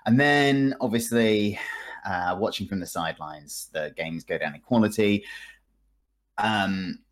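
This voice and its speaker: A man's voice: fairly low pitch, unhurried. A man speaking as a podcast guest, giving casual, informal opinions in a hedging, self-deprecating, conversational way. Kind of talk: casual chat, informal game review.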